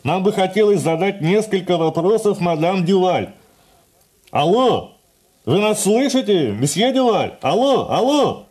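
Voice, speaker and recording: An actor playing a courteous, businesslike police officer making a formal call in a radio drama. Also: male voice, high-pitched, big pitch swings, a little slow, a little mellow, exaggerated voice.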